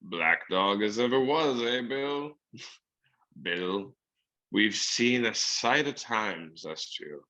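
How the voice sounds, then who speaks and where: Man playing a sly, slow-spoken old pirate. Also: lowish voice, lively intonation, bright, forceful tone, full character voice. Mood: falsely friendly, menacing. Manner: rustic seafaring talk, coaxing endearments. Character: sly, wheedling, menacing old male pirate.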